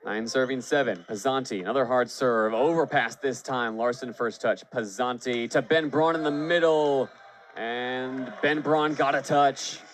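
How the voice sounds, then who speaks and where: A man's voice: medium pitch, unhurried, slight background noise. A man calling live sports on the radio as a play-by-play announcer, fluent and descriptive, lifting his voice on big plays. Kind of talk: live sports commentary for baseball and volleyball.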